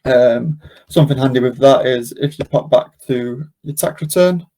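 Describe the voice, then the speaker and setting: A man presenting an informal step-by-step software tutorial, explaining as he goes in an unscripted, hesitant way with frequent ums. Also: mid-range voice, moderate pace. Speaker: presenter of a software demonstration webinar.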